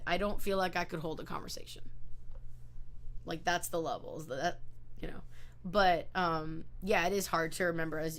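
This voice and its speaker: Chatty woman hosting a book club. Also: on the low side. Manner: chatty, rambling, many fillers and restarts. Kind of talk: casual book discussion over a video call.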